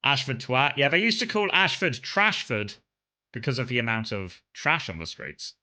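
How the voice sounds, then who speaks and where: A man's voice: medium pitch, animated, moderate pace, pushed and bright. A young man from Kent with a southern English accent, chatting casually and rambling to his stream viewers, full of fillers and restarts. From England (Kent).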